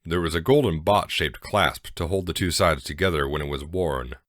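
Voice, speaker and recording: A male narrator with a remarkably deep voice reads scripted storybook prose. The recording is dry, with no room sound around him. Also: moderate pace, a bit bright, no echo.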